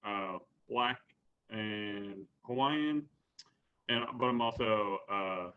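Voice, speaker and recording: A man speaking in a documentary interview, hesitant and filled with pauses and fillers. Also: fairly low pitch. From Virginia, USA. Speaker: professional ultimate frisbee player. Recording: dull lo-fi audio.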